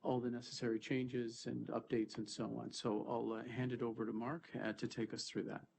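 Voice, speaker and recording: A man's voice: medium pitch, flat delivery, a little fast, creaky, darkish tone. Guy with a stuffy cold running a committee meeting, calling votes, a bit of hiss. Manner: procedural, moves through agenda items. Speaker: committee chair. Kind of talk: chairing a formal meeting. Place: board meeting room.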